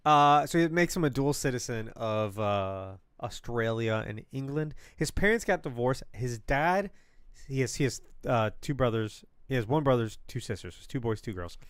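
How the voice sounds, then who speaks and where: Lively young American man rambling. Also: medium pitch, moderate pace, smooth, dry recording, studio quality. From Florida. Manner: rambling, laughs often, many fillers and restarts. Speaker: podcast co-host. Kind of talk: casual live podcast chat.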